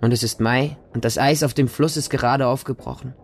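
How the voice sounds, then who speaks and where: An actor playing a wounded, innocent boy who tells of his childhood in a radio drama, in simple, deliberate, repeated phrases. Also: mid-range voice, fairly even intonation, vocal fry, a little hiss or hum, natural voice. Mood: quietly wistful. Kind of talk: radio drama monologue, storytelling.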